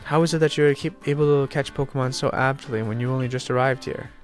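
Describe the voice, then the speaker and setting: A man's voice: mid-range voice, steady tone, fast talker, faint music behind, lightly acted. A sick, nasal man reading aloud the lines of a polite, formal male professor in a video game. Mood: earnest. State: sick, congested.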